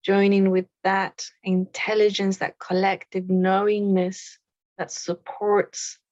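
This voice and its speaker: A woman leading a guided meditation, speaking softly with long pauses between short phrases. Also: mid-range voice, level tone, no room sound, lo-fi sound. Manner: slow, soft, with long pauses.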